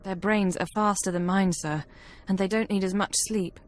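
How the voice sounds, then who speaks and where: A woman playing a brisk, analytical intelligence officer in a video game, reporting in a precise, matter-of-fact way. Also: mid-range voice, steady tone, close to an everyday voice. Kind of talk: video game cutscene acting.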